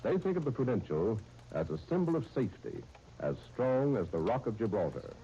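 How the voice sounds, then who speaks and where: A man's voice: medium pitch, big pitch swings, brisk, warm tone, slight background noise. A man announcing in 1930s radio style, reading a scripted sponsor message. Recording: muffled 1939 radio disc recording. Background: music.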